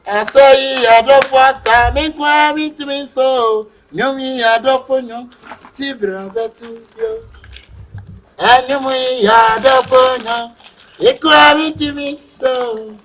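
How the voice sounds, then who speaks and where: A Ghanaian man with a Ghanaian accent in a comedy sketch, playful and shouty, mixing Twi and pidgin English. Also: extremely high pitch, takes it slowly. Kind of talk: loud improvised comedy banter.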